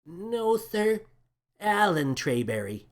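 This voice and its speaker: A man's voice: high-pitched, very animated, slow pace, slight background noise, voice pushed up, exaggerated voice. A man voicing a nervous, deferential little crewman who stammers meekly, in a sci-fi audio storybook. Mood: flustered, embarrassed. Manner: sputtering, stammering, meek.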